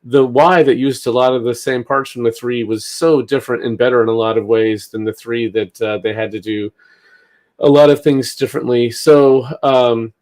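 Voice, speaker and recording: A man's voice: mid-range voice, fairly even intonation, moderate pace, darkish tone. A man hosting a casual news discussion, talking in a hesitant, think-aloud way. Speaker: talk show host.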